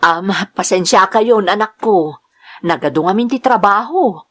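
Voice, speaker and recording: A woman's voice: medium pitch, animated, unhurried, fairly bright tone. A woman playing a devoted, trusting mother in an Ilocano radio drama, earnest and reassuring. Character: devoted, trusting mother in love, working away from home.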